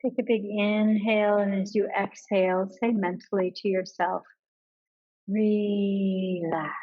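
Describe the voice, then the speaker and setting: A woman leading a guided yoga nidra meditation in a calm, measured manner with pauses between instructions. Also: medium pitch, highs cut off.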